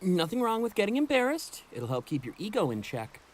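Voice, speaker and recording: A man's voice: high voice, huge pitch swings, brisk, voice pushed up, heavily acted. A man voicing a cheeky, teasing male friend in a visual novel.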